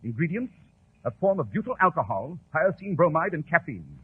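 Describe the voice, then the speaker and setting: Confident British sleuth, clipped theatrical diction, husky edge, tinny 1940s radio recording. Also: male voice, on the high side, big pitch swings, normal speed, heavily acted. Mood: explaining keenly. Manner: clipped, precise, theatrical, self-assured. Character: brisk, confident, brilliant male London detective. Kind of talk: 1940s radio drama acting.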